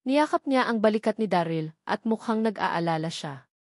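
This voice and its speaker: A woman's voice: medium pitch, animated, normal speed, no room sound, studio quality. A woman reading a scripted story as an audiobook narrator.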